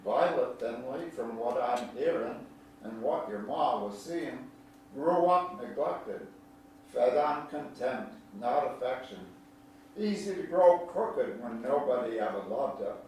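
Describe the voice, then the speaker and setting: A man's voice: medium pitch, a little mellow. An actor playing a folksy older country man, a kindly, teasing storyteller with a homespun drawl, in a theatrical staged reading. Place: large echoey indoor room at a staged reading. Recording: echoey hall, distant mic, faint noise.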